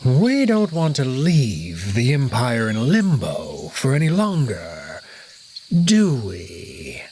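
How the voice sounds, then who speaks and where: A man voicing a scarred old male wolf, a menacing usurper, who draws out his threats slowly. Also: mid-range voice, huge pitch swings, darkish tone, slight background noise, full character voice. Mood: coldly scheming. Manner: slow, drawn-out threats.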